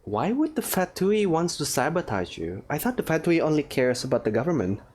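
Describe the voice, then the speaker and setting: Animated male let's-play commentator. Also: fairly high pitch, fairly quick. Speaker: gaming streamer.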